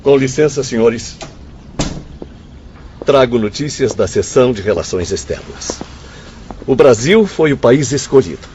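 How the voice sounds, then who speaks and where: An actor with a Brazilian accent playing an earnest, deferential spirit aide in a radio drama, speaking formally. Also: male voice, medium pitch, lively intonation, measured pace, pauses often, darkish tone, close to an everyday voice. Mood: dutiful. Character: earnest, deferential male spirit aide reporting to his superior. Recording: dull lo-fi audio, faint noise.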